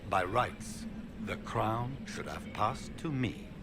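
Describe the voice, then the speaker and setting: An actor playing a proud, ambitious duke in a video game, speaking in a genial, grandiose, courtly way. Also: male voice, lowish voice, lively intonation, takes it slowly, gravelly, very noisy, exaggerated voice. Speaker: duke claiming the throne. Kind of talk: video game dialogue acting. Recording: music behind the voice. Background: game music.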